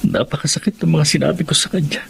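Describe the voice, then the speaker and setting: A man's voice: on the high side, steady tone, quick pace, vocal fry, a bit bright, slight background noise. A man playing a devoted, sentimental young adult son in a radio drama. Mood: worried, regretful. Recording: music behind the voice.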